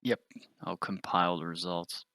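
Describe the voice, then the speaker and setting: A man's voice: sits low, level tone, normal speed, sharp tone, no echo, clean studio sound. A man talking casually as a player in a tabletop roleplaying game.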